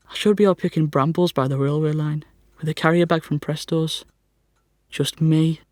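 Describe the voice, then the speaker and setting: An actor playing a sickly, self-pitying teenage schoolboy with a North East English accent in an audio drama monologue, glum and sardonic. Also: male voice, a little high, a little mellow, dry recording, studio quality, natural voice. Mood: wistful, weary. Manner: glum, complaining, melodramatic.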